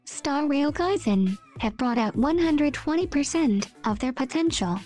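High-voiced woman reading memes. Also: expressive, a little slow, darkish tone. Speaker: meme video narrator. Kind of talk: expressive scripted voice-over reading memes and comics. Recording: music behind the voice.

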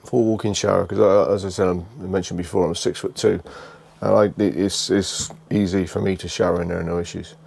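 A British man giving a casual, unscripted walkthrough tour, speaking haltingly and repeating phrases as he goes. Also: low voice, fairly even intonation, vocal fry, a little mellow, slightly rough. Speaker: vlogger giving a motorhome tour.

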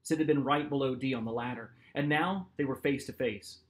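A man in his forties reading a novel aloud as its author, with expressive, dramatic narration. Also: mid-range voice, fairly quick. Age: 40s. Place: small home office, indoors.

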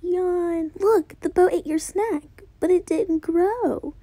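A woman's voice: very high voice, slow, warm tone, dry recording. A woman doing eager, silly noodle boys. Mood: excited. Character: two silly, eager young noodle brothers.

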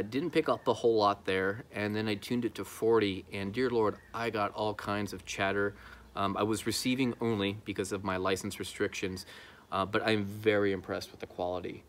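Low-voiced man, noisy outdoor recording. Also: fairly bright tone. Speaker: amateur radio vlogger. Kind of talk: casual talk to camera. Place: outdoor backyard patio.

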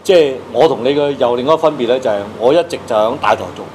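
A man's voice: mid-range voice, rapid-fire, a little mellow, slight background noise. A man in his fifties with a Hong Kong accent, casually asking questions as an interview host. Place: small indoor studio with acoustic foam walls.

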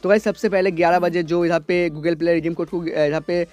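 A hoarse young man, a gaming YouTuber talking casually and rambling, filling every pause with "guys". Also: on the high side, steady tone, darkish tone, faint music behind. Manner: repeats "guys" constantly, rambling filler-heavy delivery. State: hoarse from a cold. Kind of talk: casual screen-recorded gaming update.

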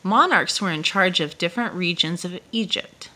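A woman's voice: fairly low pitch, normal speed, bright, forceful tone. Woman reading a picture book aloud. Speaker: storyteller reading to a child.

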